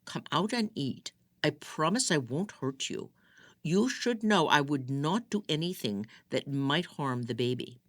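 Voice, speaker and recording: A woman's voice: a bass voice, animated, measured pace, dry recording, clean studio sound, heavily acted. A woman reading an audiobook, voicing an angry, controlling male captor who speaks harshly. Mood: coaxing. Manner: harsh, demanding.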